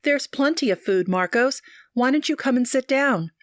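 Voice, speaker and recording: A woman voicing an anxious, warm young woman who speaks high and eager. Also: expressive, moderate pace, no room sound, studio quality.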